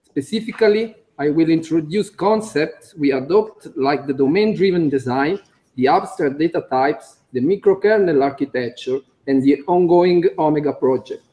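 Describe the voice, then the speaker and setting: A man's voice: a little high, mellow, rough recording. Italian man reading a conference talk. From Italy. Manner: careful, halting, with frequent "uh" fillers and small slips. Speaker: conference speaker, computer engineer. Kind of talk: conference talk read from notes. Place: conference room.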